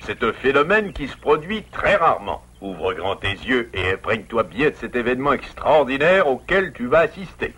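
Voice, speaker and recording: A man playing a gentle, learned beaver father in a French cartoon, speaking in a measured, composed way. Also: mid-range voice, big pitch swings, breathy edge. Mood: calm. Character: calm, wise adult male beaver father, gentle and learned. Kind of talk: French-dubbed cartoon acting.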